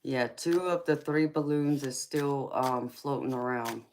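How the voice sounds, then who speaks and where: A woman in her thirties, with a very deep, low voice, unhurried and relaxed as she reads viewer comments aloud. She laughs often and calls people honey, and a faint hiss sits under her voice. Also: poor audio. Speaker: tarot reader chatting with live viewers. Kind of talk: casual live-stream chat reading comments.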